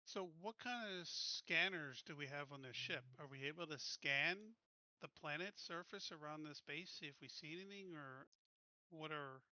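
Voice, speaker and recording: A man with a rather high, piercingly bright voice chats casually as a tabletop player. He sounds close and dry, through a slightly dull microphone. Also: moderate pace, no echo. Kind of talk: casual game chat.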